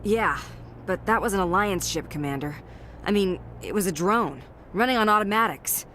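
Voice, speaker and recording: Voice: mid-range voice, expressive, moderate pace, bright, forceful tone, a little hiss or hum. An actor voicing a battle-weary, gritty soldier lieutenant in a video game, with blunt, clipped military talk. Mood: grim, matter-of-fact.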